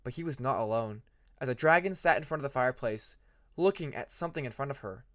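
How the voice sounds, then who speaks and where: A man narrating a fantasy story like an audiobook, in an expressive, dramatic manner. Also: medium pitch, fast. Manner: expressive, acts out dramatic passages. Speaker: fan fiction narrator.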